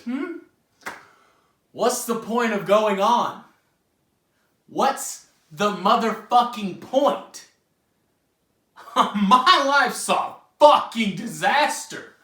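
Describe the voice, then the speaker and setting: A young man acting a bitter, self-pitying failed playwright in a theatrical monologue, halting and profane as he addresses the audience. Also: sits high, expressive, bright, forceful tone, full character voice. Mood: despairing, bitter. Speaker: student actor. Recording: roomy, echoing room sound.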